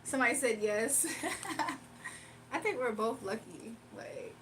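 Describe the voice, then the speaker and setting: A woman's voice: a little high, lively intonation, slow pace, noisy background. A woman chatting casually on a live stream from her phone, reading viewer comments aloud and laughing often. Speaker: livestreamer.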